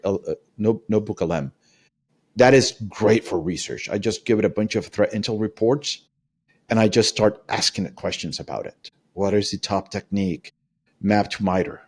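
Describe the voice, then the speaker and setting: A man's voice: lowish voice, a little mellow. A man who is not a native English speaker, a guest on a podcast panel, explaining how he works in a direct, matter-of-fact way. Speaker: podcast guest, security practitioner. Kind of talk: casual panel chat.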